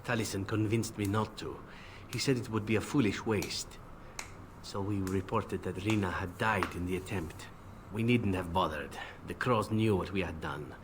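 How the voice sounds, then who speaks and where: A man playing a weary, guilt-ridden elven assassin in a video game, speaking in a measured, solemn, confessional way. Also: sits low, background noise, lightly acted. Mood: regretful. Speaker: game companion character. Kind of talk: dramatic video game voice acting.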